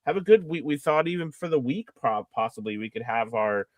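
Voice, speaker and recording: A man with a medium-pitched voice chats casually on a livestream. He rambles and halts, rephrasing as he goes. The sound is dry and free of room echo. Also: lively intonation. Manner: rambling, halting, self-correcting. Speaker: voice actor co-hosting a livestream.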